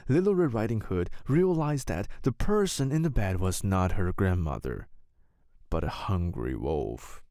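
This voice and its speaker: Bedtime story narrator, man: low-pitched with some creak, deliberate, very animated delivery. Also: no room sound, studio quality.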